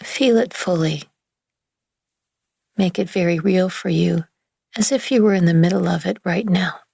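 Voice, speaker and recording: A woman leading a guided relaxation meditation in a gentle, soothing, hushed manner with long pauses between phrases. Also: lowish voice, gravelly, husky, no room sound, studio quality. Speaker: yoga nidra meditation guide.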